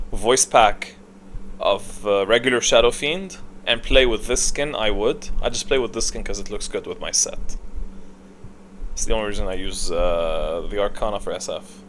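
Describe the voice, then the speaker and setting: A man's voice: lowish voice, a bit bright. A man giving casual, unscripted gaming commentary in a blunt, opinionated way, swearing now and then. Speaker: video game streamer giving tier rankings. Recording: clear background noise.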